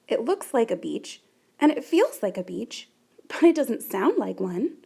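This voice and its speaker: Female storybook reader, high and very lively, unhurried, expressive delivery for young listeners. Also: creaky, breathy edge. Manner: animated, deliberate, dramatic emphasis. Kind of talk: expressive children's picture book read-aloud.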